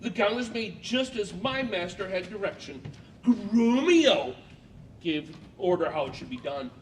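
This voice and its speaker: An actor playing a flustered, deferential tailor who stammers earnestly in a Shakespearean comedy audio play. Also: male voice, sits high, big pitch swings, a little slow, far from the mic. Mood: flustered protest. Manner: stammering, hesitant. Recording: noticeable background noise.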